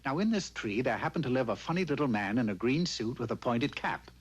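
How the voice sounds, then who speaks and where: A man giving wry, scripted fairy-tale narration in a slightly husky voice. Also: medium pitch, lively intonation, a little fast.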